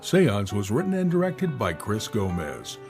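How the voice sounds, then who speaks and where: A man's voice: fairly low pitch, wildly swooping pitch, unhurried. A man reading scripted closing credits as an announcer. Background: music.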